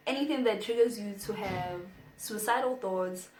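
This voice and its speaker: A woman's voice: medium pitch, moderate pace, crowd noise. A young woman giving casual advice in a vlog. She is chatty and playful, and reads from her notes. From South Africa. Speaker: vlogger. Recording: echoey room.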